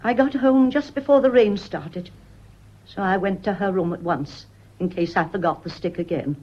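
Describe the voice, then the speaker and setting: An actress playing a deferential, mournful old maidservant in a radio play, with a wistful, lingering way of speaking. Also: female voice, medium pitch, normal speed, highs cut off, lightly acted. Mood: wistful, pointed. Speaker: radio drama actor.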